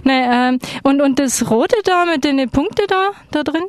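A woman's voice: high-pitched, a little slow, no room sound, clean studio sound. A woman playing a flustered, polite customer in a live radio play, hesitating, stammering and repeating herself. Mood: puzzled, searching.

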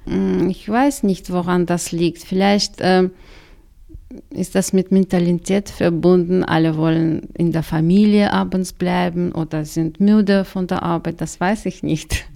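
A middle-aged woman speaks German with a Russian accent. She talks slowly, in a somewhat low voice with a gravelly edge. She answers carefully and leans on repeated words for emphasis. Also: steady tone, mellow. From Kazakhstan. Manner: careful, repeats "sehr, sehr" often. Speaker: interviewee, migrant community worker. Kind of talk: radio interview answers.

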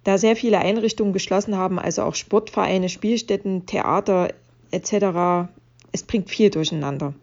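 A woman's voice: mid-range voice, normal speed, a little mellow, natural voice. A woman playing a busy working mother's online comments in a radio collage, on a dull home recording. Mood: matter-of-fact.

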